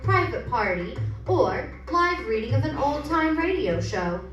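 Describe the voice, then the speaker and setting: A woman giving a playful, theatrical scripted promotional read with an expressive delivery. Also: sits high, measured pace, lo-fi sound. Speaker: advertisement reader. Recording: echoey room, distant mic, music.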